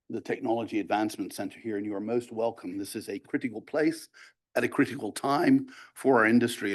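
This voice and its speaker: Board chairman, man, low voice, formal ceremonial podium remarks.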